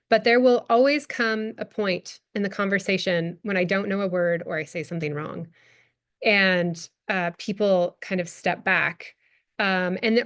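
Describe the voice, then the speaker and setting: A woman's voice: mid-range voice, fairly bright tone. An American woman in her thirties, an anthropologist answering audience questions at a talk, with many fillers, restarts and laughs. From United States. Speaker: guest speaker, anthropologist. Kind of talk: lecture Q&A answer.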